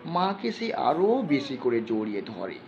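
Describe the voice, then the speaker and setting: Animated male storyteller over soft music. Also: medium pitch, a little slow. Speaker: audio story narrator. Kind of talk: dramatic scripted story narration. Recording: slightly dull sound, music bed behind.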